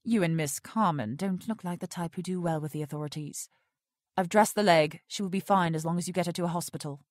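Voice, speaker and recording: A woman's voice: lowish voice, brisk, a little mellow, no echo, close to an everyday voice. A woman acting a cool, haughty animal expert with assertive, clipped delivery in an audio drama. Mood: firm.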